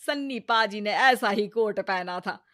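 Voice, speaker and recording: A woman playing a sharp-tongued, taunting mother-in-law in a Hindi story cartoon, with a sarcastic, nagging, theatrical delivery. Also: mid-range voice, measured pace, lightly acted. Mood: mocking, taunting.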